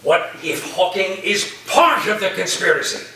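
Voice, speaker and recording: Man acting a high, bright, eccentric professor, echoey room. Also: big pitch swings, normal speed, full character voice. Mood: suspicious, insistent. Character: eccentric male philosophy professor full of wild conspiracy theories. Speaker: actor in a staged reading. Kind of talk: staged play reading, theatrical lecturing. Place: reverberant room, staged reading before listeners. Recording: distant echoey room recording, faint noise.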